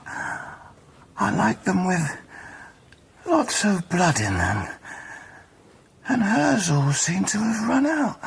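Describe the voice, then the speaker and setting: A man playing a dying, injured madman in a radio drama, weak, dreamy and halting, with faint noise behind him. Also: high-pitched, very animated, creaky, full character voice.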